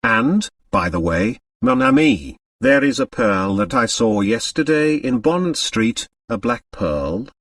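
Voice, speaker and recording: A man's voice: medium pitch, wildly swooping pitch, slow pace, gravelly, few pauses, fairly bright tone, dry recording, clean studio sound, distant mic, exaggerated voice. A man voicing a languid, self-absorbed, seductive woman dancer in an audiobook, theatrical and murmuring. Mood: languid, coaxing.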